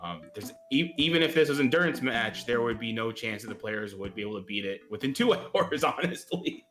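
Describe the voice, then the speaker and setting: A man with an American accent, a guest game master explaining settings casually, relaxed and chuckly. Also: mid-range voice, brisk, faint music behind. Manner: relaxed, chuckling, hesitant with fillers.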